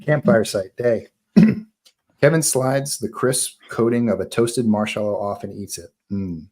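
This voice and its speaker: A man's voice: on the low side, a little slow, warm tone, slightly rough. A man narrating stage directions at a live screenplay table read.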